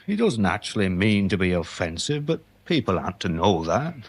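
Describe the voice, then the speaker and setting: A deferential English police sergeant with a deadpan wit. His pitch moves around a lot as he explains things pedantically, in British radio-play style. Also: moderate pace, breathy edge, full character voice. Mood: quietly exasperated. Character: polite, dry-witted police sergeant, quietly exasperated. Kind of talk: British radio comedy-mystery drama acting.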